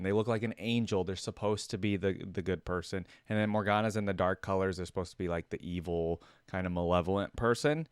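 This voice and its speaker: A man's voice: on the low side, clear, resonant tone, dry recording, clean studio sound. A man hosting a reaction video, giving slangy, musing fan commentary in a casual way, with short interjections.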